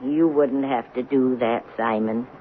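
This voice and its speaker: A woman's voice: a bass voice, fairly even intonation, unhurried. An actress playing a kindly, moralizing elderly woman in a radio drama, earnest and lamenting. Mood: dismayed. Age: older. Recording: tinny old radio drama broadcast, faint noise.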